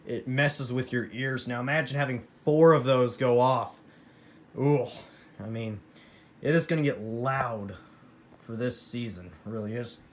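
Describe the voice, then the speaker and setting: Casual American male hobbyist vlogger, mid-pitched, informal and enthusiastic, rambling delivery, noisy room recording. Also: measured pace. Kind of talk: casual rambling show-and-tell of a radio collection. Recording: noisy room with clear background noise.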